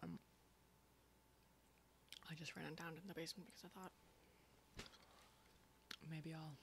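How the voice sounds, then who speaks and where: A woman playing a foul-mouthed, sardonic apocalypse survivor in an ASMR horror roleplay, breathy, dry and often whispering. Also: deep voice, little pitch movement, background noise. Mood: relaxed, wry. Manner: sweary, dry, unhurried.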